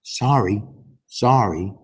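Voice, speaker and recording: A man voicing a shy, naive school building in a storybook, earnest and hesitant, with a husky voice. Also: lowish voice, slow, exaggerated voice. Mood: embarrassed. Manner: childlike wonder, hesitant, earnest. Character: newly built school building, male, naive, shy, easily embarrassed.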